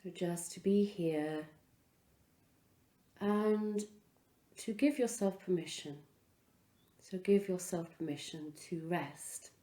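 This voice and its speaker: A woman leading a guided relaxation as a yoga instructor, speaking in an unhurried, soothing way with pauses between phrases. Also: on the low side, dark tone, reverberant.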